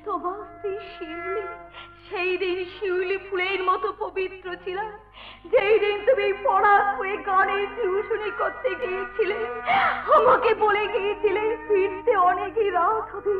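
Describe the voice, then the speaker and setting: A very shrill, anguished wife. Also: female voice, steady tone, a little slow. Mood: tearful, anguished. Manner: melodramatic, wailing, declamatory. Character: anguished, wronged young wife, tearful and pleading. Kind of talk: Bengali jatra folk-theatre acting. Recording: dull stage-play recording with music.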